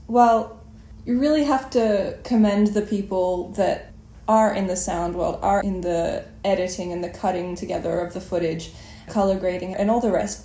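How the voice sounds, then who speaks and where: Mellow woman host in an echoey room. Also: mid-range voice. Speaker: interview host. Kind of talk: conversational interviewing and commentary. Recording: roomy, echoing sound.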